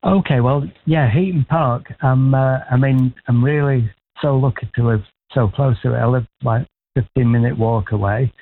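A sixty-year-old man from Manchester calling in to a radio show, chatting warmly and rambling a little. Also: medium pitch, moderate pace. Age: 60s. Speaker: caller. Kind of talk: casual phone-in chat. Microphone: telephone. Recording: tinny phone line.

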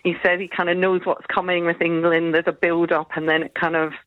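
A woman's voice: fairly low pitch, flat delivery, fairly quick, no echo. A middle-aged woman with a Scottish accent chatting casually as a caller to a phone-in. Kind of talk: casual chat. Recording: narrow tinny phone line.

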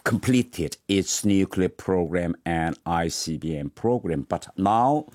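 A man from North Korea with a Korean accent, answering in a news interview in measured speech with many pauses. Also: low voice, lively intonation, a little mellow, no echo, studio quality. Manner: measured, frequent "uh" pauses, halting phrasing. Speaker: interviewed politician and former diplomat.

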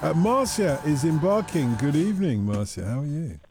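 A man's voice: fairly high pitch, very animated, moderate pace, music in the background. A man presenting a radio show, chatting casually and joking at his own expense with a caller. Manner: jokey, chatty, self-mocking.

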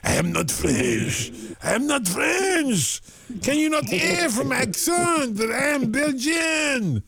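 Man hamming up an indignant Belgian detective, shrill, fussy, slow, mock Belgian-French accent. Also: big pitch swings, gravelly, a crowd in the background, full character voice.

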